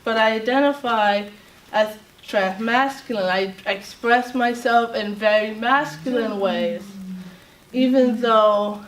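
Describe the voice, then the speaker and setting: A woman from Latvia giving an informal talk to a group as a self-advocate, speaking haltingly with many fillers and restarts. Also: a little high, slight background noise. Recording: roomy echo, voice far from mic, faint ambience.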